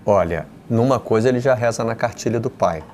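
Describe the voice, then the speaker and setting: A man hosting a football talk show who introduces clips and thinks aloud in a measured way, often restarting his sentences. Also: medium pitch, a little mellow, slight background noise. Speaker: sports show host. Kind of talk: casual football panel talk.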